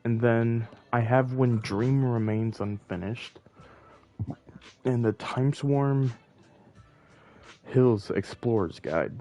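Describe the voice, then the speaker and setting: Slow-talking man rambling on a game stream. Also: medium pitch, dark tone, soft background music. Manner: rambling, thinking aloud. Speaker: game livestreamer. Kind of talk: casual livestream chat while playing.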